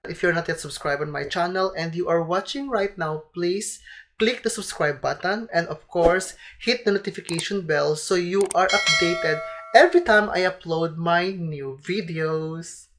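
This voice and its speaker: A woman's voice: on the low side, moderate pace. A young woman with a Filipino accent, a native Tagalog speaker, chatting casually to camera as a vlogger while she has a cold, often calling her viewers "guys". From Philippines. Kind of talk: casual advice vlog to camera.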